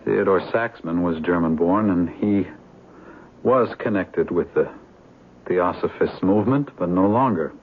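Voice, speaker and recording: An actor playing a shrewd, plain-spoken police detective in a 1970s radio drama. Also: male voice, low voice, measured pace. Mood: matter-of-fact. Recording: muffled, hissy 1970s radio broadcast.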